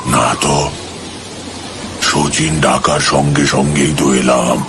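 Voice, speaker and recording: A man's voice: extremely low pitch, steady tone, takes it slowly, background noise, full character voice. A man acting as the pained, distant ghost of a friend in a dramatized story, with a muffled, strained voice and terse, labored replies. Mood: eerie, detached. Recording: loud music under the voice. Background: loud music.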